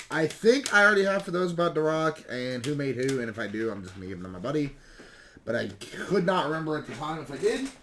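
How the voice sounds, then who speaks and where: Slightly hoarse man chatting over background noise. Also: mid-range voice. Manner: rambling, self-correcting, mild swearing. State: slightly hoarse, losing his voice. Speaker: YouTube video game collecting host. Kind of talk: casual chat showing recent pickups to camera.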